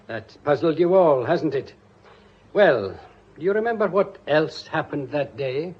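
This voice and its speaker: A man's voice: fairly high pitch, expressive, measured pace, slightly rough, dull audio. A man playing a confident police inspector in a radio drama, authoritative, avuncular and theatrical. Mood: confident, measured. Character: confident, teasing male police inspector revealing a murderer.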